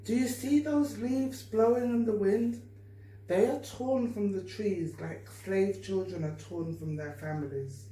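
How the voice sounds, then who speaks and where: A woman's voice: medium pitch, unhurried, warm tone, pitched above the performer's own voice, close to an everyday voice. Woman reading as a sorrowful mother, echoey. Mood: sad warning. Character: enslaved mother, sorrowful and foreboding. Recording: echoey room, distant mic, faint noise.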